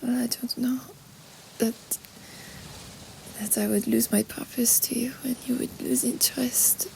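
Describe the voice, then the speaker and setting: A woman playing a vulnerable young French roommate in an intimate roleplay audio, speaking in a breathy, halting, tender way with a French accent. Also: a little high, level tone, dark tone, a little hiss or hum, low-quality recording. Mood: tearful, hesitant. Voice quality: breathy, whispery.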